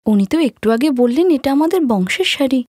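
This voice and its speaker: A woman's voice: high-pitched, animated, a little fast, darkish tone, slightly rough, no echo, studio quality. A woman voicing an outspoken, sly young daughter-in-law in a cartoon story, pointed and indignant.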